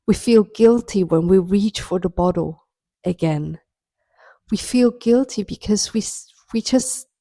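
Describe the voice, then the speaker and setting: Slow, mellow-voiced woman spiritual healer. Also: mid-range voice, gravelly, dry recording. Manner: soft, earnest, coaching tone. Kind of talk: reflective motivational monologue.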